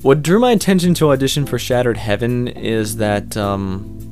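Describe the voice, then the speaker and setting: A man's voice: mid-range voice, moderate pace. A man giving casual, rambling, unscripted interview answers as an amateur voice actor, informal and keen on the work. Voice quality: loud voice. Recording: music playing behind the voice.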